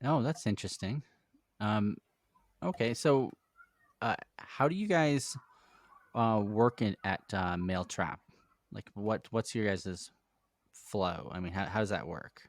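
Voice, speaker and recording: A man hosting a software development podcast, asking his guest questions in an upbeat, friendly way. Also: fairly low pitch, slow pace, pauses often, no echo. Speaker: podcast host. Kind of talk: podcast interview.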